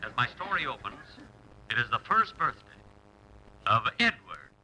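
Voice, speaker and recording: A man's voice: medium pitch, big pitch swings, lightly acted. An actor playing a pompous, boastful English lord who grandly narrates his life in a vintage radio comedy sketch. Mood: grandly self-important. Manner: grandiose, deliberate. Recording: noisy old radio broadcast with hum.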